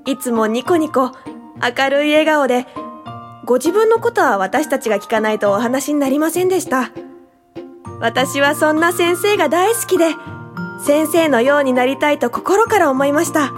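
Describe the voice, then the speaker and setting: High-voiced, earnest young female student. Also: lively intonation, moderate pace, soft background music. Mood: fond, admiring. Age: young adult. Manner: polite, eager, respectful. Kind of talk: radio drama acting and reminiscing narration.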